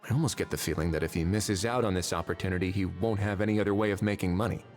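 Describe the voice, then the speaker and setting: A man voicing a shrewd, easygoing, world-weary official in a video game, with dry, measured authority. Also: low-pitched, fairly even intonation, a little mellow, soft background music, lightly acted. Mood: pensive. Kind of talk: animated video game voice acting.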